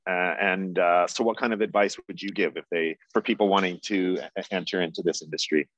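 Male moderator, deep voice, hesitant questioning, dry video-call sound. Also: steady tone, a little fast, a bit bright, dry recording. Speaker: panel moderator. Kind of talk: hosting a panel discussion. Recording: dry, slightly dull video-call audio.

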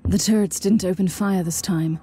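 Game heroine: devout battle-hardened inquisitor nun, dark mellow tone, resolute, commanding, composed. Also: female voice, mid-range voice, steady tone, normal speed, slightly rough. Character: stern, fearless, devout warrior nun inquisitor.

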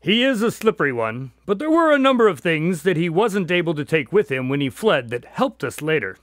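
A man's voice: fairly high pitch, big pitch swings, a little fast, no echo, studio quality. A middle-aged man playing a genial, teasing doctor who recounts cases in a radio drama. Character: genial male doctor telling his case stories.

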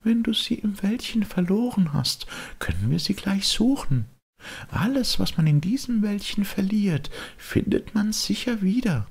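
A man voicing a gentle, teasing young fairy woman in a storybook reading, soft, patient and sisterly, with a light breathy voice. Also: high voice, sing-song, unhurried, pitched above the performer's own voice, heavily acted. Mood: probing. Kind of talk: audiobook storytelling.

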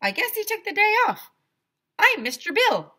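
A woman voicing a slow-witted, puzzled male ice cream vendor in a storybook, hesitant and drawn-out. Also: very high-pitched, sing-song, sharp tone, pitched above the performer's own voice, full character voice. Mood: breezy.

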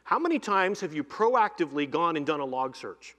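Energetic man presenting on a hall stage. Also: a little high. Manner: energetic, lists items in quick runs. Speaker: keynote presenter demoing cloud software. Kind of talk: live keynote demo. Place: large conference hall stage. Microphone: headset mic. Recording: long hall reverb.

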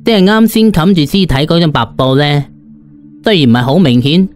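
A male storyteller with a slightly high voice. He narrates conversationally and expressively, acting out the tale as he goes, over faint background music. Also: expressive, fairly quick, darkish tone. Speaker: audiobook narrator. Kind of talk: dramatised first-person story narration in colloquial Cantonese.

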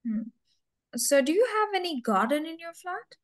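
A woman's voice: extremely high pitch, very animated, moderate pace. A young woman teaching English, who runs a mock speaking test and patiently repeats her questions and key words. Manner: patient, coaxing, repeats words many times. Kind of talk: slow, repetitive test questioning.

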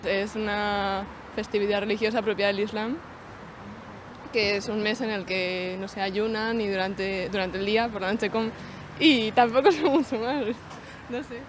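A woman's voice: mid-range voice, fairly even intonation, normal speed, noisy background. A young woman in a street interview, giggling and unsure, speaking into a handheld mic. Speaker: passer-by. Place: city street outdoors. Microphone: handheld mic held by interviewer.

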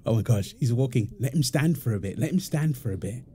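A man playing a doting, playful young husband and proud father in a wholesome audio roleplay, soft and affectionately teasing. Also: medium pitch, expressive, fairly quick, natural voice. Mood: excited, tender.

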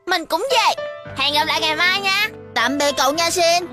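A woman's voice: very high-pitched, normal speed, music in the background. A woman voicing an earnest, imaginative small child in a cartoon dub, with a southern Vietnamese accent.

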